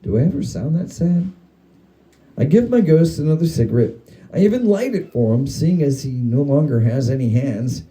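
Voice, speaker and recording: Very dark-voiced man reading a story theatrically. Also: fairly high pitch, lively intonation, moderate pace, low-quality recording. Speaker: story reader. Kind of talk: dramatic reading of a humorous spooky story.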